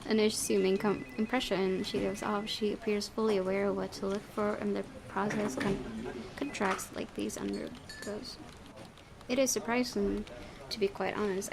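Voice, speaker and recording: A woman's voice: medium pitch, creaky. A woman hosting a gaming video, reading visual novel narration aloud and occasionally stumbling and correcting herself.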